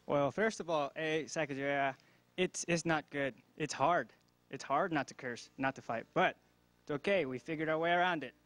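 A man's voice: a little high, normal speed, a bit bright, no echo, lightly acted. A young man playing a brash hockey player with a put-on Canadian accent who ends his lines with "eh", recorded on a handheld mic in a locker-room set. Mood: boastful. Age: young adult.

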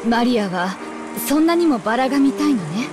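A woman's voice: a little high, animated, racing, background noise, lightly acted. An actress playing a strained young mother in a visual novel, polite but stern, with tense restraint. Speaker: voice actor. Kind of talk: Japanese visual novel acting.

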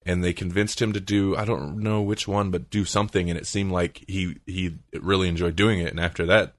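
A male podcast host talks casually in a low-pitched voice. He thinks aloud in a halting way, and the sound is close and dry with no room to it. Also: flat delivery, no room sound.

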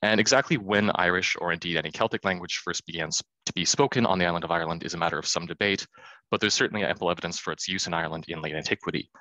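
Deep, fast-talking man lecturing by video call. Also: pushed and bright, no echo, rough recording. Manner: quick, self-deprecating, many fillers. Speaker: conference speaker. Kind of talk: academic presentation.

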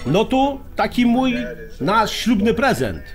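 Radio drama casting: older highlander patriarch, blunt and folksy, animated delivery, music underneath. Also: male voice, takes it slowly, full character voice. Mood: solemn, generous. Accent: Polish highlander. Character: bossy, generous older highlander uncle and family patriarch.

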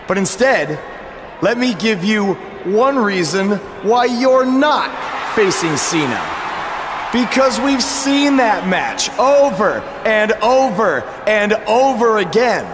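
A man's voice: high-pitched, full character voice. A man playing a brash, confident up-and-coming wrestler in a video game, delivering a promo in a noisy arena. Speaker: professional wrestler. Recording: loud arena background noise.

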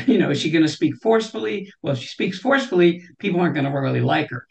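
Gravelly-voiced older woman on a video call. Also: low voice, expressive, quick pace. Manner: gestures widely, many restarts and "you know". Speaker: guest. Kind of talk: interview conversation. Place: home room with shelves.

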